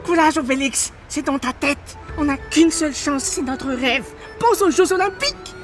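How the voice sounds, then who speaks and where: A woman's voice: high voice, normal speed, music in the background, natural voice. A woman playing an eager young man who dreams big, speaking earnestly and pleadingly with a Malagasy French accent. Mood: encouraging.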